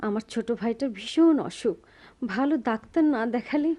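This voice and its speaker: An actress as a mellow, pleading young woman. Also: on the high side, lively intonation, a little fast, lightly acted. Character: needy, educated, unemployed young woman, pleading softly. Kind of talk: radio drama acting.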